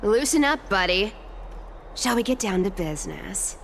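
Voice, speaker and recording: A woman's voice: medium pitch, expressive, moderate pace, slight background noise, lightly acted. A woman playing a teasing, flippant cat-like thief demigod in a video game, with a cocky, drawling delivery. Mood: teasing, playful. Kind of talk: video game character acting.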